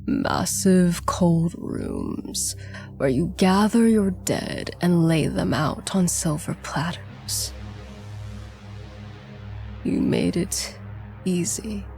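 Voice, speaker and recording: A woman voicing a calm, ancient male ghoul with a raspy whisper in a storybook horror tale. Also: fairly low pitch, faint music behind, heavily acted. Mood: calm menace. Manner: slow, calm, breathy whispering.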